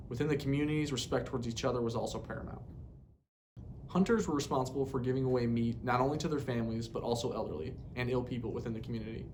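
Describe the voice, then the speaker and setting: Man reading educational narration over rumbling noise. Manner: formal, read from a script. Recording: poor mic, clear rumbling background noise.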